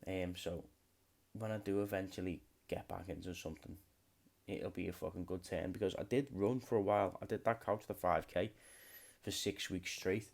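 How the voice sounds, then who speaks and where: A man's voice: low voice, fairly even intonation, moderate pace, creaky, darkish tone. A man in his late twenties, hosting a podcast alone in a rambling, swear-heavy casual chat. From United Kingdom. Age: late 20s. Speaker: podcast host.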